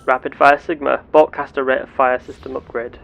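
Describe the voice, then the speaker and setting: A man narrating his gameplay in character as an earnest, curious starship captain, with formal, deliberate wording. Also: mid-range voice, level tone, gravelly, highs cut off, slight background noise. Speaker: player of a roleplay game series.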